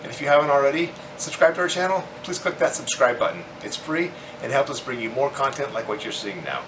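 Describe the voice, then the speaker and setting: A man in his forties hosting a farming video, reading scripted informational narration in measured phrases. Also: mid-range voice, lively intonation, reverberant, background noise. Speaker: host of a hobby farming channel.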